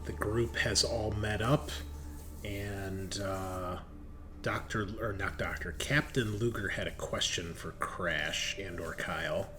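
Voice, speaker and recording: Man, game master, low voice, casual conversational narration, clear background noise. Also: takes it slowly, pushed and bright. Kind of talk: narrating a tabletop roleplaying game.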